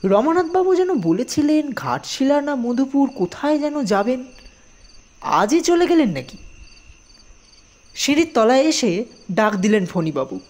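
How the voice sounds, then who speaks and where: A woman reads a scripted story for an audio production. Her voice sits fairly high and moves in lively swings of pitch, giving the narration an expressive shape. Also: measured pace, long pauses.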